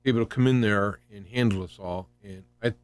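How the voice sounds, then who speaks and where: A man hosting a live talk radio show with an opinionated, unscripted political monologue, halting and restarting phrases and posing rhetorical questions. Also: on the low side. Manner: halting and rambling, often repeating and restarting phrases. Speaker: radio host.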